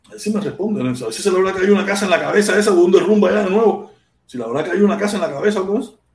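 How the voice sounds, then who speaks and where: A man's voice: high voice, very fast. A Cuban man with a Cuban accent hosting an informal livestream, chatting with commenters in repetitive, slangy, swear-laden talk. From Cuba. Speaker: livestream host. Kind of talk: informal live political rant and chat with commenters. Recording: echoey untreated room.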